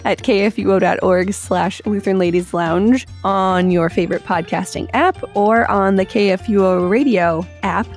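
A woman's voice: medium pitch, darkish tone. A woman hosting a podcast, chatting casually and laughing often, then reading the outro. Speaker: podcast host. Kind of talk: casual chat and show outro.

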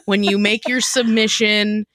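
A woman with a mid-pitched voice co-hosts a casual chat podcast. She talks in a wry, joking way. The recording is dry and free of room sound. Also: steady tone, measured pace, fairly bright tone, no echo. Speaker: podcast co-host.

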